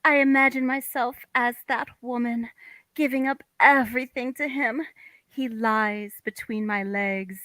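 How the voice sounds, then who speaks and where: A woman's voice: fairly high pitch, fairly even intonation, unhurried, fairly bright tone, no room sound. A woman playing a dreamy, romantic young wife with an earnest manner in a table read of a play. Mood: dreamy, yearning.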